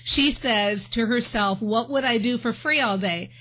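A woman's voice: on the high side, a little slow, lo-fi sound. A woman hosting an interview, enthusiastic, with a coaching tone. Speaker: interview host. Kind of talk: podcast interview.

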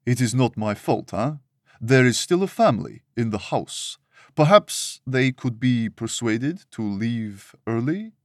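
A man voicing a tall, imposing official with a gravelly voice and a thick regional accent, in a storybook reading. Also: mid-range voice, animated, a little slow, no echo, studio quality. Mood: sly. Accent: thick regional accent (fictional).

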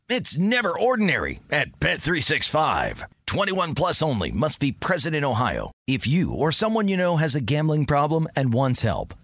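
A man's voice: on the high side, a bit bright, no echo, studio quality. A man reading a scripted advertisement with a dramatic delivery.

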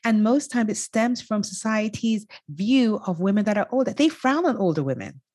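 A woman's voice: medium pitch, animated, darkish tone, breathy edge, dry recording, clean studio sound. A woman in her fifties, a life coach, talking enthusiastically as a guest in an interview and laughing easily. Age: 50s. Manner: enthusiastic, quick to laugh, emphatic. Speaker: guest, life coach for women over fifty. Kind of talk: interview conversation.

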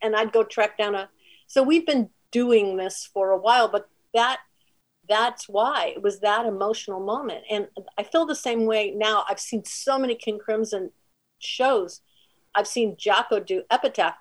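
A female singer with a soft voice, telling anecdotes casually in an interview. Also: mid-range voice, measured pace.

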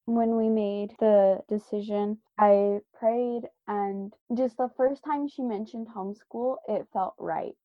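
A woman's voice: mid-range voice, steady tone, slow pace, hardly any pauses, dry recording, highs cut off. A woman guest earnestly telling a personal story in a casual faith discussion.

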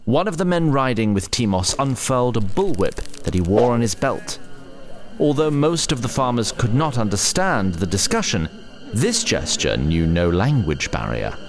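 A man narrating a scripted fantasy story in a deliberate storytelling delivery. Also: mid-range voice, very animated.

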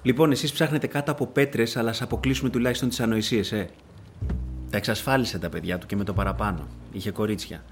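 A man's voice: lowish voice, steady tone, faint music behind, lightly acted. An actor playing an evasive, irritable middle-aged newspaper editor in a radio drama, guarded and hesitant in his replies. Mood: irritated.

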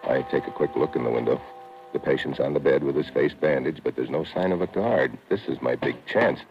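An actor playing a tough, wry American secret agent in a 1950s radio drama, with a gruff, clipped delivery. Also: male voice, a bass voice, a little fast. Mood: tense narrating. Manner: clipped, wisecracking. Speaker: lead actor and first-person narrator. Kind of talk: 1950s radio drama acting, hard-boiled narration. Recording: muffled old radio broadcast with music.

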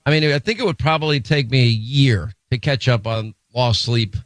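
A man's voice: mid-range voice, no echo. A middle-aged man hosting a talk radio show, bantering brashly with his producer and taking calls. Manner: brash, quick, joking.

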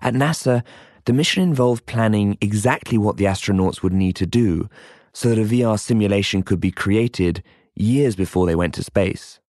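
Male documentary podcast host, lowish voice, scripted narration, very dry recording. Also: moderate pace, a little mellow, no room sound.

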